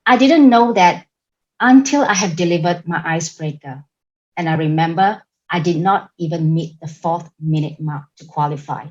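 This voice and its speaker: A woman with a Singaporean English accent delivering a prepared club speech over a video call, in a deliberate, emphatic manner. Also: fairly low pitch, a little mellow, highs cut off. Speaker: club member giving a speech.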